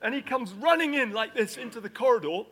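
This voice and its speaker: A middle-aged man who grew up in Nigeria, preaching in an animated storytelling style and acting out the scenes he describes. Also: very high-pitched, fast talker. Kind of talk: storytelling sermon.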